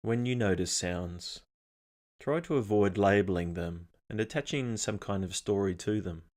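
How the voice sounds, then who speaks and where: Man, very low voice, meditation guide, calm, measured, scripted instruction.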